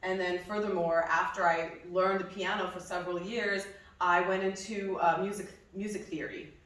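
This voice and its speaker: A young woman from New Jersey whose first language is Hebrew, chatting casually in an interview about her music, laughing often and filling pauses with ums. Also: lowish voice, steady tone, fairly bright tone. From New Jersey, USA. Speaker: singer being interviewed. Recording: echoey room, voice from a distance.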